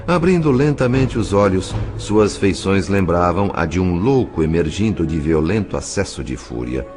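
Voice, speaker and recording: A Brazilian man with a very low voice narrates a scripted drama in literary style. His intonation swings widely and expressively, with clear music playing underneath. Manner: literary, measured dramatic storytelling.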